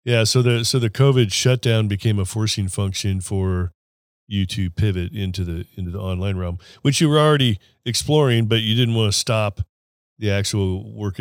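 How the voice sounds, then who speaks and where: Low-voiced, rambling podcast host. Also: male voice, no echo, studio quality. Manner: rambling, chuckling, trailing off. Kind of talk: casual interview.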